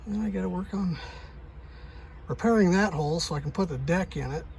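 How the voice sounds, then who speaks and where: A middle-aged man talking through a DIY job in a casual, halting, plain-spoken way, thinking out loud. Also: sits high, creaky, slight background noise. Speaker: truck driver, DIY vlogger.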